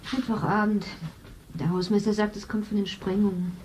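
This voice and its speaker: A woman's voice: mid-range voice, little pitch movement, moderate pace, a little mellow, slight background noise, close to an everyday voice. A woman playing a matter-of-fact, tired housewife in a 1970s radio play.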